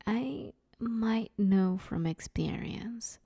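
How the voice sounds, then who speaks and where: A woman's voice: mid-range voice, drawn-out words, creaky, dark tone, breathy edge, no echo, clean studio sound, heavily acted. A woman playing a broke, sheepish but scheming villain in a conversational comedy audio roleplay, wheedling her neighbor in an awkward, hesitant way. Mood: reassuring, conspiratorial.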